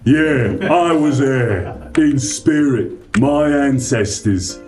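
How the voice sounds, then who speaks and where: A man's voice: mid-range voice, lively intonation, extremely slow, darkish tone, faint music behind. A man voicing a brash, angry English caller with a put-on English accent, ranting combatively and rambling. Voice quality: blustering, combative, rambling rants. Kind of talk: comedic prank call.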